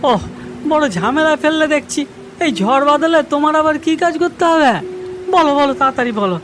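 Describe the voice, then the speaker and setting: High-pitched, hurried cremation-ground worker voiced by a woman. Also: animated, background noise. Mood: impatient. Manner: hurried, exclamatory, earnest. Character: kindly, hurried orphan cremation-ground worker man, Sidhu. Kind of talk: cartoon horror story acting.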